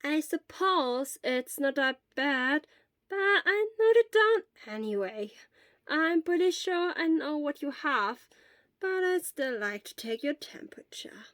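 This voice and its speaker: Woman acting elderly female school nurse, very high voice, kindly, brisk, careful delivery, storybook character. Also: animated, a bit bright, dry recording, clean studio sound, full character voice. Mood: calm, questioning. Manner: slow, deliberate questioning.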